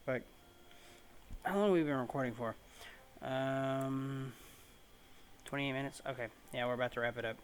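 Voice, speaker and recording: A man's voice: mid-range voice, normal speed, pauses often, slight background noise. A man giving casual, rambling let's play commentary as he hosts a gaming video, informal in his wording. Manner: rambling, informal, mild swearing.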